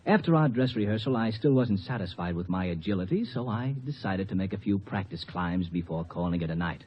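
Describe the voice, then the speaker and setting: A man's voice: deep voice, animated, brisk, slight background noise. An actor playing a bashful, clumsy young amateur actor who tells his story in a hesitant, mock-formal way for a 1950s radio commercial. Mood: sheepish. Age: young adult. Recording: muffled old radio recording.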